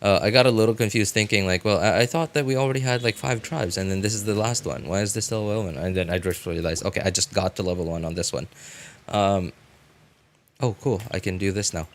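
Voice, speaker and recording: A man giving casual live game commentary as a streamer, rambling and halting, often breaking off mid-thought to react. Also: fairly low pitch, slight background noise.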